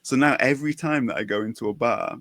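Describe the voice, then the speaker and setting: A man's voice: medium pitch, fast talker, fairly bright tone, no room sound. A man hosting a podcast, telling stories in a chatty way and laughing often. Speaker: podcast host. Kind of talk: casual chat.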